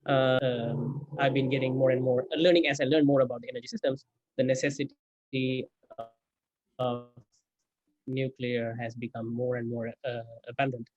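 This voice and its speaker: Halting man on a muffled line. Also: medium pitch, little pitch movement, a little mellow. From Sri Lanka. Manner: halting, many fillers. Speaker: guest, energy investor. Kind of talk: conversational interview answer. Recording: dull dry remote audio.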